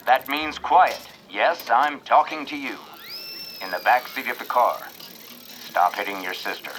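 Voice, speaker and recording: A man's voice: mid-range voice, unhurried, a bit bright, a little hiss or hum, close to an everyday voice, rough recording. A man playing a jovial, hammy radio show host in an old-fashioned announcer style. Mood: mock stern. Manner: hammy, upbeat, punchy.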